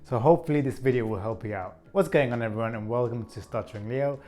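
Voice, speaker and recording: A man in his thirties speaks at a middle pitch, earnest and even, as he hosts his own channel. Now and then a stutter slows a word before he moves on. Soft music plays faintly under his voice. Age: 30s. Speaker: channel host. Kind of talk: scripted vlog talk to camera.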